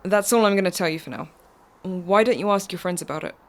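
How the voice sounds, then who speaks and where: An actress in an audio drama playing a mysterious woman driver who is calm, insistent and reassuring. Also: fairly low pitch, quick pace, close to an everyday voice. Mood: cool, assured.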